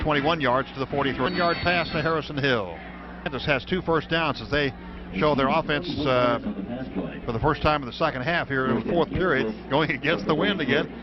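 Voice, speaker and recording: An American man calling football play-by-play on the radio in clipped running descriptions that get excited on big plays. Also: on the high side. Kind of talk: live American football commentary. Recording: dull, noisy radio broadcast from stadium.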